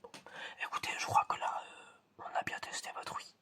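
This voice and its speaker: A person whispering in a breathy, almost voiceless way in an ASMR roleplay as an examiner, halting and repeating short phrases. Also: sharp tone. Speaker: ASMR creator playing an examiner giving a five senses test.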